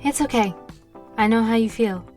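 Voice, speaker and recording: An actress playing a confident, tender witch who mentors with soothing, measured words in an audio drama. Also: fairly high pitch, a little mellow, close to an everyday voice. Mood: reassuring. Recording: music playing behind. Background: music.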